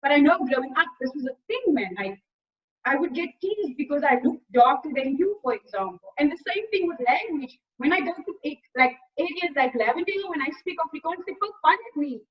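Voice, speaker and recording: A young woman from Cape Town with a Cape Town South African accent, hosting a casual conversation over a video call, talking in lively bursts and restarting her phrases. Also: sits high. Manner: lively, quick restarts and repeated words. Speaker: host. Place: small indoor room. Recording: dull lo-fi video call audio.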